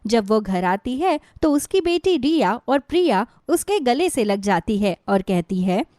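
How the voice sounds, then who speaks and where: A woman narrates a scripted bedtime story for children. Her voice sits fairly high. The recording is dry, with no audible room. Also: big pitch swings, unhurried, darkish tone, no room sound.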